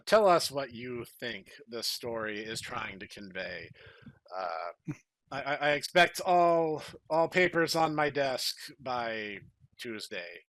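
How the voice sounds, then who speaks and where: A man's voice: medium pitch, a bit bright. A man hosting a podcast in casual chat, with a jokey, playfully theatrical way of phrasing things. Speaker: podcast host.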